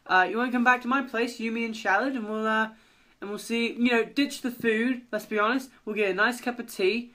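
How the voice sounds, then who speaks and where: A man's voice: very high-pitched, fairly even intonation, fairly quick, pushed and bright, voice pushed up. A man voicing a polite, easygoing male duck with a put-on British accent in an improvised story. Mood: reassuring.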